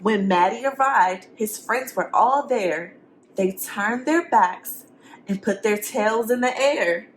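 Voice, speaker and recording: A woman's voice: mid-range voice, slow, slight background noise, low-quality recording. A woman teacher reading a storybook aloud to young students, expressively, with simple encouraging questions and asides for the children.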